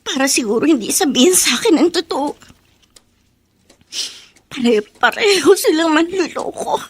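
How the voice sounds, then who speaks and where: An actress playing a hurt, rebellious teenage runaway, stubborn and wary, in a Filipino radio drama. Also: female voice, high-pitched, animated, moderate pace. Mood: hurt, resentful. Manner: sulky, talking to herself.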